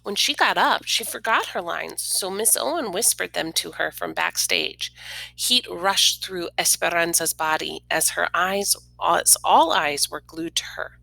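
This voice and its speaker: A woman reads a picture book to young children with expression. Her voice is lowish and bright with some vocal fry, recorded dry and close. She explains things in simple, informal words. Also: sing-song, measured pace, dry recording, low-quality recording. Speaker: read-aloud storyteller.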